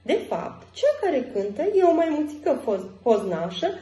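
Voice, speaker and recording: A woman reading a children's story aloud in an expressive storytelling style. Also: sits high, a little hiss or hum. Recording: roomy echo, faint music.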